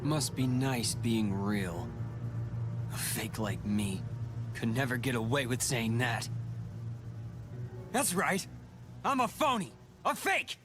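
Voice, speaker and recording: Slow, brooding teenage boy hero. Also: mid-range voice, sing-song. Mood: bitter, anguished. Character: earnest teenage boy hero, guarded and brooding, and his bitter double. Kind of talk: video game cutscene voice acting. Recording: loud game music behind the voice.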